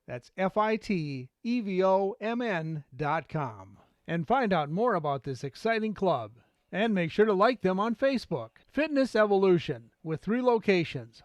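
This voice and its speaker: A man's voice: high voice, no echo, clean studio sound. An American man reading scripted radio advertisements as a commercial announcer.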